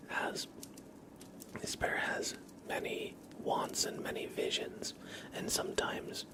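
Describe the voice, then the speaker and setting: An American man hosting an ASMR livestream, speaking in a soft, breathy whisper with an unhurried, gently reassuring manner. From East Coast of the United States, near New York. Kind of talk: whispered ASMR, casual livestream chat and card reading.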